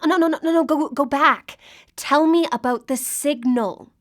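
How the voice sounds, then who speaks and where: Actress as earnest driven woman, radio play, highish voice, intent, halting delivery. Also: background noise, lightly acted. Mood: urgent, pleading. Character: earnest, driven woman hunting for her lost friend. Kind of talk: live radio drama acting.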